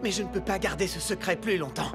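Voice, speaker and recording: An actor voicing an earnest, hesitant young man in an animated cartoon dub. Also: sits high, fast talker, loud music behind the voice. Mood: troubled. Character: earnest, hesitant young male friend of prince.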